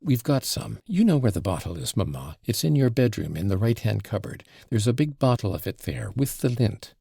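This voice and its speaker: A capricious invalid girl snaps out orders and teases in a raised, lively voice, as a male audiobook reader puts her on. The sound is gravelly and husky, close and dry. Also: on the low side, normal speed, no room sound, heavily acted. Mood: imperious. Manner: sharp, bossy and mocking.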